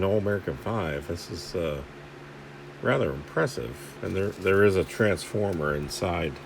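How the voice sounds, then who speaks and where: A man's voice: sits low, gravelly, noisy background. A man giving casual, rambling hands-on repair commentary, thinking aloud in informal, salty language. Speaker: host of a radio repair video. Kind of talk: casual hands-on commentary while inspecting equipment.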